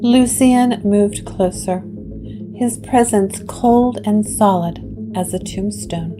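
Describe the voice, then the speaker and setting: Woman, measured dramatic narrator, mid pitch, over guitar music. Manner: slow, hushed, dramatic storytelling. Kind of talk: scripted gothic drama narration.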